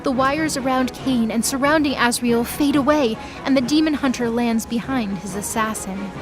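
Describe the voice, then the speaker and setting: A woman narrates an audio drama in a fairly high voice. She reads scripted third-person action as the storyteller. Clear music plays behind her. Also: moderate pace.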